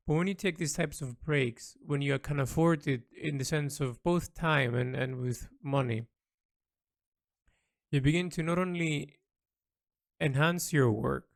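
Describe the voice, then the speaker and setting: A man speaking an unscripted, reflective audio log as an illustrator and storyteller, halting often to search for words and repeat himself. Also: medium pitch, vocal fry.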